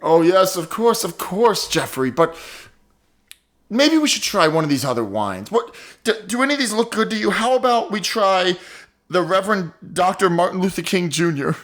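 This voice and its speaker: A man's voice: high-pitched, very animated, moderate pace, fairly bright tone. Man playing a polite, hesitant wine taster. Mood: musing, polite. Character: polite male wine taster, earnest and musing. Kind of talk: improvised comedy sketch.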